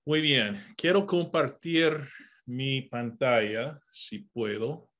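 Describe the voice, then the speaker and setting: A man's voice: medium pitch, animated, a bit bright. A man pastor leading an online Bible study over a video call, talking with many "ah" fillers and correcting himself as he goes. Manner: unhurried, with frequent "ah" fillers and self-corrections.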